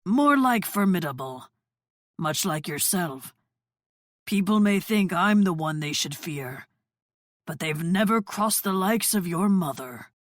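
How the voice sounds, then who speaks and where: A woman playing a calm, commanding father who runs a crime family in an audiobook. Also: lowish voice, slow, no echo, clean studio sound. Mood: wry. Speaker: audiobook narrator voicing dialogue. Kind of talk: audiobook character dialogue.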